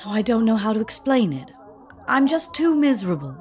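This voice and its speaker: A woman's voice: fairly high pitch, very animated, a little fast, a little mellow, a little hiss or hum. A woman playing a wry, theatrical, unhappily married American in an audio drama. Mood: miserable, earnest.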